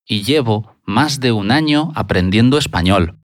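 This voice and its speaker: Slow, low-voiced man in a language lesson. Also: expressive, dry recording, studio quality. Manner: repeats answers in full sentences. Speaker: Spanish lesson speaker. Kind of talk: slow, deliberate lesson dialogue.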